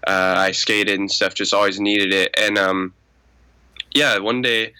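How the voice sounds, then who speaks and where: A man in his twenties with a voice raspy from a cold, answering questions in a laid-back, rambling way with many fillers. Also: on the low side, steady tone, measured pace, bright, forceful tone. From Arizona. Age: 20s. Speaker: guest, music producer. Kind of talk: casual podcast interview.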